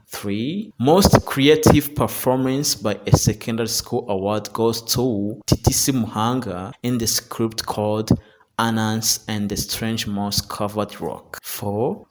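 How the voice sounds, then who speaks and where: A man reading a scripted news report as its narrator. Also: lowish voice.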